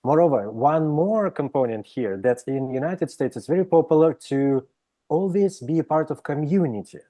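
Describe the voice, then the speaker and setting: A man from Russia with a Russian accent, speaking as a psychologist guest in an interview, explaining with many pauses, restarts and "right?" checks. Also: on the high side, animated, dark tone. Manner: hesitant, frequent "right?" tags and restarts. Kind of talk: interview conversation.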